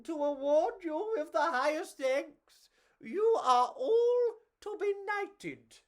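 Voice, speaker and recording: A woman's voice: very high voice, expressive, takes it slowly, voice pushed up, heavily acted. A woman voicing a grand, gracious queen in a children's storybook, proclaiming ceremonially and with delight.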